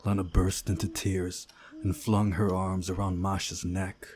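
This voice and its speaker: A man reading a visual novel aloud as narrator, in a measured storytelling way with a husky voice. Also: low-pitched, little pitch movement, a little mellow, slight background noise. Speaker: gaming video narrator.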